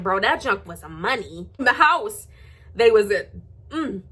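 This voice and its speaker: A woman's voice: a little high, fairly bright tone, husky. An American woman vlogger delivering a heated gossip rant to viewers, blunt and confrontational, swearing heavily and repeating phrases for sarcastic emphasis.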